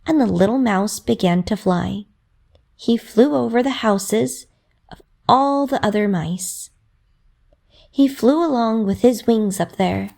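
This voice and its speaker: A woman narrating a children's bedtime story in a rhythmic, expressive way with dramatic emphasis. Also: fairly high pitch, slow, gravelly, pauses often.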